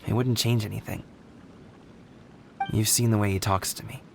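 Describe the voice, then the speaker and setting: A man's voice: lowish voice, fairly even intonation, normal speed, creaky, slight background noise. An actor playing a friendly young male guard in a video game, speaking casually and candidly with proud but discouraged feeling. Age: young adult.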